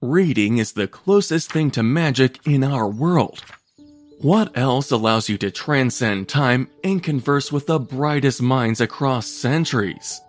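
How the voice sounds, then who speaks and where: A man reading a written article aloud as scripted narration, in measured, separate phrases. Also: medium pitch.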